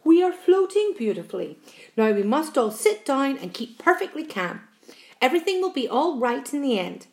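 A woman voicing a polite old male grasshopper in a storybook, speaking formally and with measured composure. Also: high-pitched, sing-song, a little mellow, voice pushed up. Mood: calm, reassuring.

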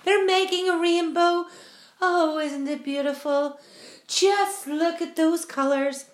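Woman as excitable insects, high and exclaiming. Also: takes it slowly, echoey room. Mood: delighted awe. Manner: exclaiming loudly.

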